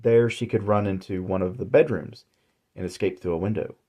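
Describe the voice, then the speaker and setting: A man narrating his own horror story in a measured, deliberate way. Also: low voice, dark tone, slightly rough. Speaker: author and narrator.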